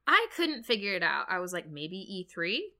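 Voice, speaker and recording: A woman's voice: fairly low pitch, big pitch swings, normal speed, heavy background noise. A woman co-hosting a podcast, chatting casually in a playful, wry way. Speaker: podcast co-host. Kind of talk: casual banter.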